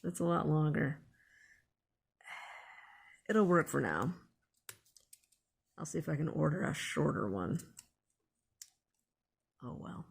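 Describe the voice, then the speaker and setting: A woman's voice: deep voice, sing-song, slow pace, vocal fry, long pauses, slightly rough. A woman hosting a casual hands-on DIY tutorial, thinking aloud in an unscripted way as she works. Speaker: DIY video host.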